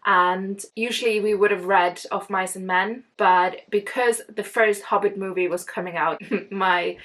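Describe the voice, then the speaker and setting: A woman's voice: mid-range voice, fairly even intonation, measured pace, fairly bright tone, poor audio. A young woman from Iceland, with as her tongue, rambling cheerfully through a casual book unboxing and often restarting her words. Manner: chatty and rambling, often restarts words, gushes about books. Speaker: book vlogger.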